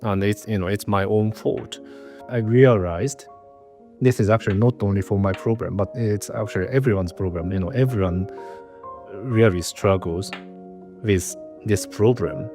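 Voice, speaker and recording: Earnest Japanese-accented man, low voice. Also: moderate pace, over music. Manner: earnest, careful, with repeated restarts. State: nervous. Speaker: researcher. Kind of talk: prepared interview answers.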